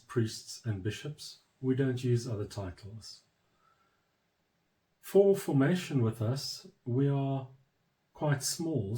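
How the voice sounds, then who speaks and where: A man with a mellow, warm voice speaks in an earnest, unhurried way, breaking his thoughts into short phrases. He sounds steady and firm, like a leader explaining what is expected of newcomers. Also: medium pitch, poor audio. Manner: measured, pausing between phrases, firm. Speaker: church leader. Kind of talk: earnest informational address.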